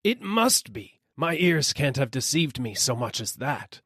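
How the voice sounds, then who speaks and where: Man putting on an excitable high-voiced coal dealer. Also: a little slow, breathy edge, no echo, clean studio sound, heavily acted. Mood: excited wondering. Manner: excitable, calling out questions. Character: eager, hopeful male coal dealer calling out.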